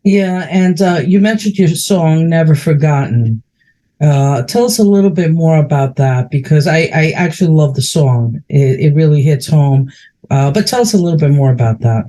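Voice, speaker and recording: A man's voice: fairly high pitch, normal speed, dark tone. A man from New York with a New York accent, interviewing a guest in a chatty, folksy, heartfelt way. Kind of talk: casual interview chat.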